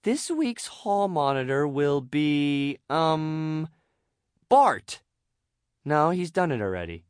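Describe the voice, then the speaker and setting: A man doing a flustered high-pitched lady pufferfish teacher. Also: big pitch swings, slow, clear, resonant tone, no room sound, studio quality. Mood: flustered, desperate. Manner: hesitant, sighing, exasperated. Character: flustered, dreading, exasperated female pufferfish schoolteacher. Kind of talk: children's storybook character voice.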